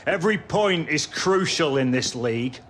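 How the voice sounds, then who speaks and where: Demanding football coach, higher-pitched man. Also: animated, moderate pace, fairly bright tone, slight background noise. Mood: rousing, stern. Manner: motivational, exhorting. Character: driven, demanding football coach rousing his squad. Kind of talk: video game cutscene acting, team talk.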